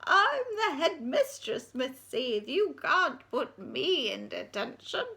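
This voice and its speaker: A dotty ninety-nine-year-old headmistress. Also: female voice, high voice, very animated, takes it slowly, vocal fry, bright, forceful tone, pitched above the performer's own voice, heavily acted. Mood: amused.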